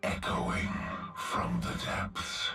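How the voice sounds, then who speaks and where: An actor voicing a menacing, merciless mutant executioner warlord in a video game, with a gravelly, slow, commanding delivery. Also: male voice, sharp tone. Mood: ominous, proclaiming. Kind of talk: video game villain voice lines. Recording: echoing game audio over music.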